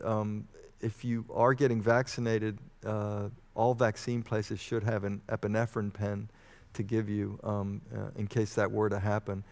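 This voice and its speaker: A man in his fifties from Texas answering as a medical expert in an informal interview, speaking in a measured, hesitant, explanatory way. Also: fairly low pitch, darkish tone. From Houston, Texas, United States. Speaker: medical expert guest, vaccine researcher. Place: on stage in a church auditorium. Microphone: headset mic.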